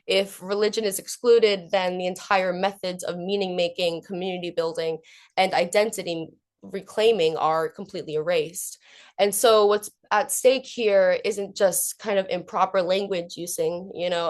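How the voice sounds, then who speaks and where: A young woman student giving an academic conference presentation of research in an earnest, informal way. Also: fairly low pitch. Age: young adult.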